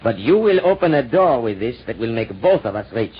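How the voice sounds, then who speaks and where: An actor playing a suave, secretive shopkeeper in a 1940s radio drama, courteous and conspiratorial. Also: male voice, mid-range voice, big pitch swings, brisk, close to an everyday voice. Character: suave, secretive French music-shop owner, male schemer. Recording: dull, noisy old radio recording.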